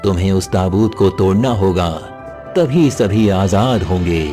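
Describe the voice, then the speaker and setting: A man's voice: deep voice, a little slow, over music. A man voicing a wise, solemn old hermit in a cartoon moral story, grave and instructive.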